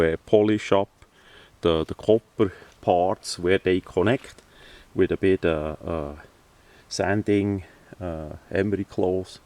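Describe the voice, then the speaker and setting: Slow, low-voiced man, halting delivery. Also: a little mellow. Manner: halting, hesitant, matter-of-fact delivery. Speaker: hobbyist presenter. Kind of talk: casual hands-on product demonstration. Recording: distant microphone.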